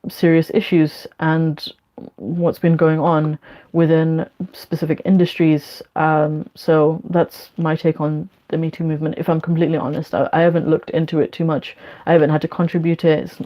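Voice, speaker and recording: A woman with a London accent hosting a casual question-and-answer session, speaking in a frank, measured way. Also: low-pitched, little pitch movement, dark tone. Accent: London English. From London, UK; born in Bangladesh. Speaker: online Q&A host. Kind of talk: casual spoken Q&A, reading questions and giving opinions. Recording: dull, lo-fi sound.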